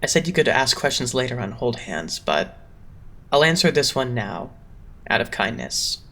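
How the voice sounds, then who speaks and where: Guy doing a smug theatrical anime idol leader, crisp bright tone w some fry, talks measured, music under it. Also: medium pitch, very animated, heavily acted. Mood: lofty, patient. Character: poised, condescending young male idol leader, smoothly cutting. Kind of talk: anime-style dub voice acting.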